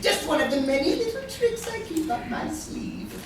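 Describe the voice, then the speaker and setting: A man's voice: very high-pitched, animated, normal speed. A man playing a manic, gloating supervillain who taunts in a cackling sing-song, in a live radio play. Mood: gloating command. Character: manic, gloating male supervillain, mocking and bossy. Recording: echoey hall from far off, noisy.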